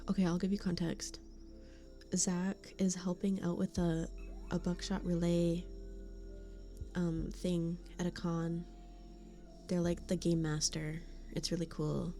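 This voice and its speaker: Woman, casual stream chat, warm tone, unhurried, giggles a lot, faint background music. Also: mid-range voice. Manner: giggly, laughs often between remarks. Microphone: studio condenser mic.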